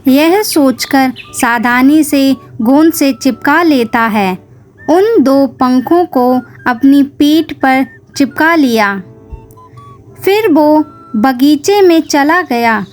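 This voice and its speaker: High-pitched, slow woman storyteller. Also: animated, faint music behind. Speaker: narrator. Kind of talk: scripted children's story narration.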